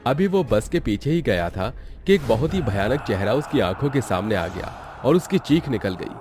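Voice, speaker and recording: A man tells a scripted animated horror tale as its narrator. His voice sits at a middle pitch, with background music underneath. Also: moderate pace. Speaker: story narrator.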